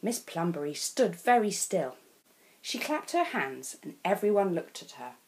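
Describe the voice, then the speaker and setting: A woman's voice: lowish voice, poor audio. A woman from England with an English accent reading a children's picture book aloud as a storyteller. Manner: slow, expressive read-aloud.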